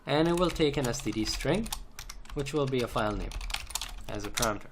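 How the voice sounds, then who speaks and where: A man's voice: mid-range voice, animated, fairly quick. A man narrating an unscripted, step-by-step screencast tutorial in a methodical way, repeating phrases as he goes. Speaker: programming tutorial instructor. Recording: noisy home recording, typing and clicks. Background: keyboard typing, mouse clicks, background noise.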